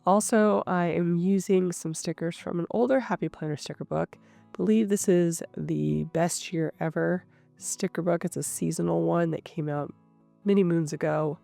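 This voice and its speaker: Stuffy-nosed woman, low and gravelly. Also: normal speed, dry recording. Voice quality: slightly rough. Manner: calm, explanatory, thinking aloud. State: stuffy and nasal from a cold. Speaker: planner channel host. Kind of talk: voice-over narration of a craft session. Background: soft guitar music.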